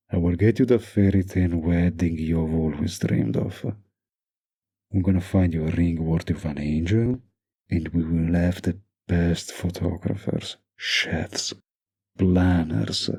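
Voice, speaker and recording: A man playing a doting, dominant young mafia heir boyfriend in an intimate roleplay audio drama, murmuring slow, possessive sweet talk with pet names. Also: mellow, heavily acted. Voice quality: low gravelly, breathy murmur.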